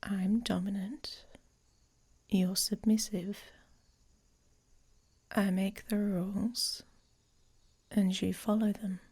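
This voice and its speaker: A woman playing a dominant, teasing female werewolf in an intimate ASMR audio roleplay, with a breathy, whispery voice and a commanding manner. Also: mid-range voice, level tone, slow pace, long pauses, darkish tone. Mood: seductive, commanding.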